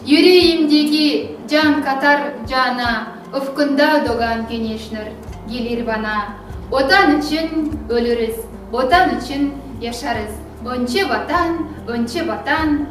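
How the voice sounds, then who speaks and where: A woman reciting a poem slowly and solemnly over music. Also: high voice, a little hiss or hum. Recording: very echoey room, far from mic, music behind.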